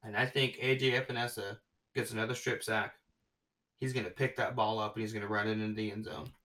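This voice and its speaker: A man hosting a casual sports podcast, talking excitedly and gushing about his predictions, often restarting his sentences. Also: fairly low pitch, fast talker, distant mic, rough recording. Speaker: podcast host.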